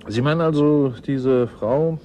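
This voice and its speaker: An actor playing a world-weary, guarded scientist in a 1980s German radio drama, gruff and dryly ironic. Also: male voice, mid-range voice, unhurried, mellow, slight background noise, close to an everyday voice. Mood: suspicious.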